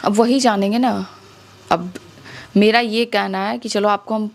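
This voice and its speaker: A woman's voice: medium pitch, fairly even intonation, measured pace, gravelly. A young woman with a Bihari accent speaking as an interview guest, emphatic and insistent, often throwing out rhetorical questions. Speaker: interview guest, music-video actress. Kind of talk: spoken interview, airing grievances.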